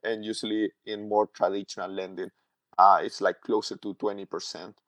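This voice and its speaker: A man's voice: fairly low pitch, flat delivery, fairly bright tone, dry recording. A man from Chile, a tech executive giving a casual technical explanation, full of "like" and "uh" fillers. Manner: halting, heavy use of "like" and "uh" fillers. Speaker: tech company CTO, podcast guest.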